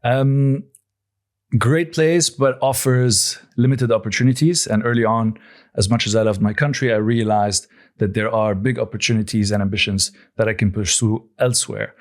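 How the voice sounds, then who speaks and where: A man in his forties, born and raised in Lebanon, answering podcast questions as a company founder in a measured, thoughtful way with frequent pauses and restarts. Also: fairly low pitch. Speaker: guest, coffee company founder.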